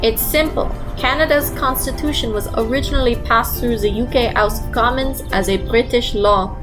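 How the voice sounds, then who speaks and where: A calm teenage girl voiced by a woman speaks in a very high register in an audio drama. She is precise and unbudging, with music underneath. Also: normal speed, natural voice. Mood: matter-of-fact, firm.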